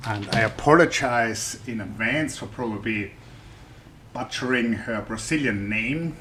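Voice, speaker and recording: A man's voice: mid-range voice, a little slow, sharp tone, faint music behind. A man with a German accent explains a scientific debate to a general audience in a chatty, thinking-aloud way, often restarting his sentences. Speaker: science YouTuber. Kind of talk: explaining research for the general public.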